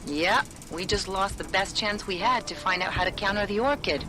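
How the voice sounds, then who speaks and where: A man's voice: high-pitched, normal speed, natural voice. A man playing an urgent, casual ally in a video game cutscene, with a blunt, colloquial way of talking. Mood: reproachful. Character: urgent, casual male pilot ally on comms.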